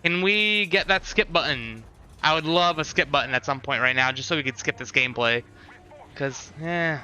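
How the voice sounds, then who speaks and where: Bright-voiced man livestreaming, sarcastic asides. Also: fairly high pitch, moderate pace. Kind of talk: casual livestream commentary, chatting to viewers.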